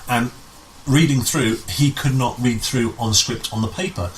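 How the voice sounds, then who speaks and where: A man in casual conversation as a guest on a talk show, with a mid-range voice. He speaks haltingly and often rewords his sentences as he goes, and there is clear noise behind him. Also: mid-range voice, fairly even intonation, low-quality recording. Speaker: interview guest, amateur pantomime director.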